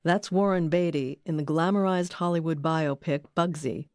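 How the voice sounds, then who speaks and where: Female documentary narrator, slightly low register, dry close studio recording, scripted read. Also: steady tone, normal speed, a little mellow, dry recording. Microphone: close studio microphone.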